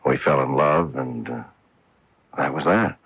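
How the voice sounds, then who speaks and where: An actor playing an earnest, wistful professional golfer in a radio mystery drama, with a husky voice. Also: male voice, extremely low pitch, measured pace, a bit bright, heavily acted. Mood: rueful, wistful. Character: wistful, earnest male professional golfer recalling his wife. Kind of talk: radio mystery drama acting, narration and dialogue. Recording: dull lo-fi AM radio broadcast.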